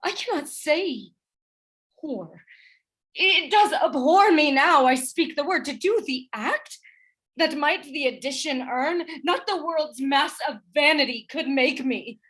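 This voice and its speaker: A woman playing a gentle, wronged young noble wife in a Shakespearean radio play, plaintive and earnest. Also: high voice, expressive, takes it slowly, full character voice. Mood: pleading.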